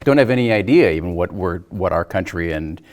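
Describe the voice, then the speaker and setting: A man's voice: low voice, lively intonation, fairly quick. A man chatting informally about film as a guest, tentative and thinking aloud as he goes. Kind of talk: casual film discussion.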